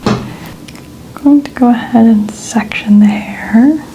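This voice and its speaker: Slow, hushed ASMR woman, often whispering. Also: fairly high pitch, low-quality recording. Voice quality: soft, breathy, often whispering. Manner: gentle, soothing, step-by-step explaining. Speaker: ASMR host playing hairstylist. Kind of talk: soft-spoken ASMR narration of hairstyling.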